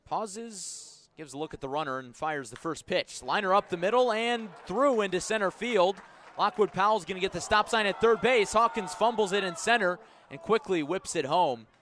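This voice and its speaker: A man doing live radio baseball play-by-play as the announcer, measured between pitches and lifting into excited calls on the action. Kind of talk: live radio sports commentary.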